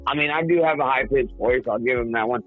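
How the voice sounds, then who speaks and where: A man's voice: medium pitch, fast talker, soft background music. Male call-in guest, profane and blustery, voice worn from singing, phone-quality audio. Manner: profane, blustery, easily riled. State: strained voice from singing. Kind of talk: casual comedic banter. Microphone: remote call. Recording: tinny phone-like call line.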